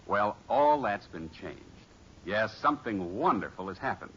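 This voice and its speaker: A man's voice: lowish voice, animated, measured pace, fairly bright tone. A man announcing a 1950s radio advertisement in a polished, emphatic, persuasive way. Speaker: commercial announcer. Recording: muffled, hissy old radio recording.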